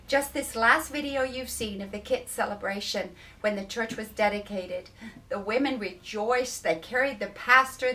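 A woman's voice: on the high side, sharp tone. A woman in her fifties, a charity director, thanking supporters in an earnest, grateful way from an indoor office. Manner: earnest and grateful, with emphatic restarts. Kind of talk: thank-you address to supporters. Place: indoor office beside a wall map.

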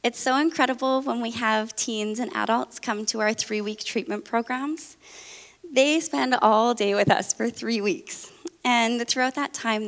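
A young woman speech therapist giving an earnest, measured presentation on stage. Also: on the high side. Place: large auditorium stage. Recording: long hall reverb.

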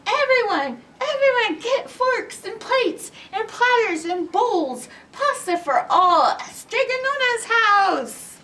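A woman's voice: very high voice, big pitch swings, takes it slowly, few pauses, pushed and bright, distant mic, slight background noise, voice pushed up, heavily acted. Woman playing an eager, singsong hapless young man. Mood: excited. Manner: exclaiming, singsong.

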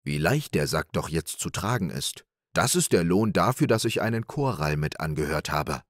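A man voicing a big, hot-tempered, greedy peasant in a storybook reading, gruff and threatening. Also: low-pitched, sing-song, normal speed, no room sound, clean studio sound. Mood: smug.

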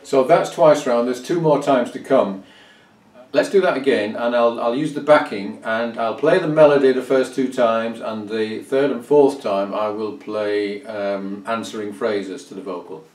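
An older man giving an informal instructional music lesson as a fiddle teacher, speaking with hesitant pauses mid-phrase. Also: medium pitch. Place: small indoor music room at home. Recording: roomy, distant microphone sound.

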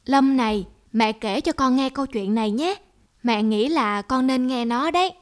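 A woman playing a patient, caring young mother in a cartoon, soothing and gently instructive. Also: high voice, moderate pace, close to an everyday voice. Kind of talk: cartoon voice acting.

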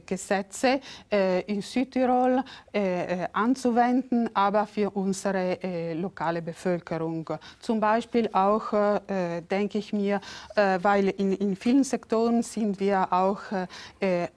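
A woman's voice: mid-range voice, a little mellow. Hesitant woman, plenty of fillers. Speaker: election candidate. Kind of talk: TV panel debate answer. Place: TV studio. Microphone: lapel mic.

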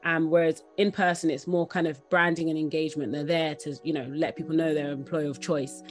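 A young woman speaking conversationally and enthusiastically as an interview guest on a video call, repeating words for emphasis. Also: deep voice. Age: young adult. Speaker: interview guest, company founder.

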